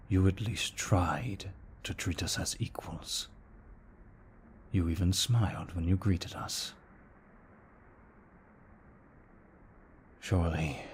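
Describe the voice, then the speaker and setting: Man as a very deep, soft-spoken brooding vampire. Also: a little hiss or hum. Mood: tender, earnest. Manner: soft-spoken, often breathy near-whisper, slow and formal. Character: brooding remorseful male vampire, gentle and protective. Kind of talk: ASMR roleplay, scripted monologue.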